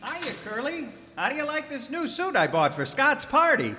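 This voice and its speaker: A man's voice: very high voice, very animated, normal speed, pushed and bright, slight background noise, heavily acted. A man playing a brazen, flippant, freeloading pal in a radio comedy re-creation. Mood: cheeky, brazen. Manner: cheeky, flippant. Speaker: radio player. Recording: reverberant hall, live stage performance.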